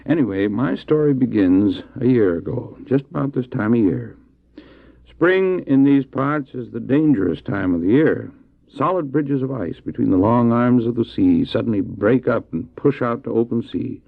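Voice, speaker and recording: An actor playing a kindly missionary doctor who tells stories in an expressive, folksy way in an audio drama. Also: male voice, mid-range voice, normal speed, creaky, dry recording. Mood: warmly reminiscing. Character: kindly, unhurried missionary doctor and sled-dog owner in Labrador. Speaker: actor, storyteller within the drama. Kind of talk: radio drama acting, storytelling. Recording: dull, dry old cassette audio drama.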